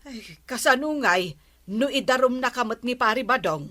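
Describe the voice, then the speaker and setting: Bright, firm admonishing village woman. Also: on the high side, lively intonation, moderate pace. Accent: Ilocano. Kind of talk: Ilocano radio comedy drama acting.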